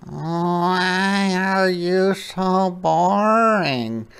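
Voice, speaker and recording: A nagging storybook jungle animal acted out by a man, raised in pitch. The questions come out exaggerated and very slow, with every word stretched. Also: gravelly, fairly bright tone, clear, resonant tone, heavily acted. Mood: nosy, critical. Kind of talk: storybook read-aloud.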